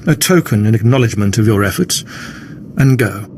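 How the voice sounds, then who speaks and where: An actor playing a pompous, menacing Sith lord in a video game, grand and imperious in manner. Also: male voice, lowish voice, animated, a little fast, a little hiss or hum. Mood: cold, commanding. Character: pompous self-proclaimed Sith lord ruling a jungle temple. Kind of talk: theatrical video game voice acting. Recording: loud music behind the voice.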